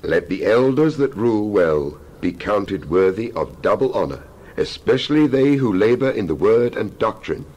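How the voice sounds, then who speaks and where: A man with a low, rough-edged voice performs a dramatic reading of scripture. His delivery is solemn and oratorical, with emphatic phrasing and wide swings in pitch. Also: sing-song, normal speed, a little mellow, poor audio. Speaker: Bible reader.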